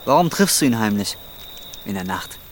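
A man's voice: fairly low pitch, big pitch swings, normal speed, a little hiss or hum. An actor playing a down-and-out, jealous man in his twenties with coarse, blunt street talk in a radio drama. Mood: jealous, angry. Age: 20s. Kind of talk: naturalistic radio drama acting.

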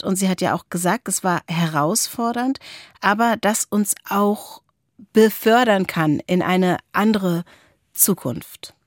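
A woman's voice: on the low side, no room sound, studio quality. A woman co-hosting a podcast, talking reflectively in a conversational discussion. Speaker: podcast co-host.

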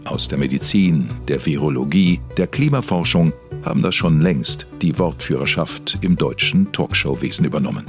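A man narrating a mock celebrity documentary as a solemn, scripted storyteller. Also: sits low.

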